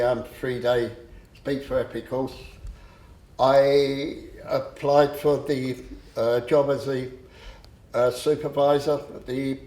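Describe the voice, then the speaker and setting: A man with a stammer giving a personal talk, slow and halting with frequent pauses and fillers. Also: medium pitch, a little mellow. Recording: echoey room.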